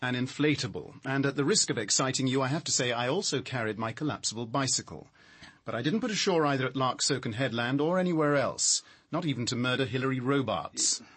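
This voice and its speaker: An actor playing a prickly, sardonic scientist in a radio crime drama, speaking in a dry, articulate way. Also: male voice, medium pitch, lively intonation, a little fast, fairly bright tone, breathy edge. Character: prickly, sardonic male power station scientist.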